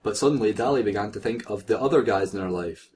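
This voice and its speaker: A man's voice: fairly low pitch, quick pace, darkish tone, a little hiss or hum. A man reading fan fiction aloud for comedy, breaking off into sarcastic, sweary, giggly banter. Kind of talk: mocking comedic read-aloud with casual banter.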